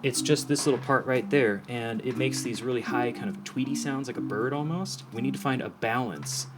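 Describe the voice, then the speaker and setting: A man's voice: medium pitch, normal speed. A man giving a casual, hands-on explanation as an audio professional, speaking informally and often checking that the listener follows. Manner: informal, demonstrative, checks understanding. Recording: music playing behind the voice.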